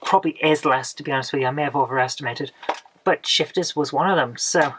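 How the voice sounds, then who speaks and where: A woman, hoarse from a coming cold, hosting a casual retro game haul video. She rambles chattily and often ends with tag questions. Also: deep voice, quick pace, background noise. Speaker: retro gaming channel host.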